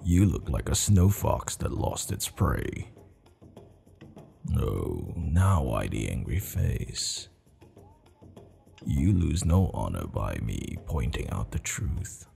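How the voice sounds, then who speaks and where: Man acting gravelly, slow-spoken proud barbarian warchief. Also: very deep voice, sing-song, warm tone, faint music behind, exaggerated voice. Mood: teasing, stern. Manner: slow, measured, stern yet amused, formal phrasing. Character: proud, teasing, commanding male barbarian warchief of a clan. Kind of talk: ASMR roleplay.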